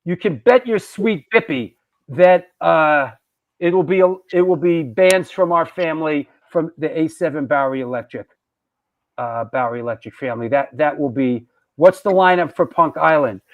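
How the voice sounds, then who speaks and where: A man's voice: a little high, lively intonation, normal speed, highs cut off. A male host of a live online show, chatting loosely and joking, reading out viewer questions. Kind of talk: live show casual chat.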